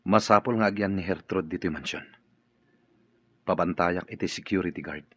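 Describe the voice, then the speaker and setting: An actor playing a kindly, protective master of a mansion in a radio drama, measured and courteous. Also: male voice, low-pitched, breathy edge, dry recording. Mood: protective, resolute. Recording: dull, lo-fi audio.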